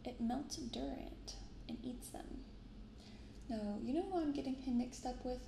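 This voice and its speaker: A young woman speaking softly in an ASMR style, unhurried as she comments and reads aloud. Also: high-pitched, animated, gravelly, roomy sound, slight background noise. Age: young adult. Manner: unhurried, hushed commentary and reading from cards. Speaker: host of a card-opening channel. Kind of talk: soft-spoken ASMR. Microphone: binaural microphone.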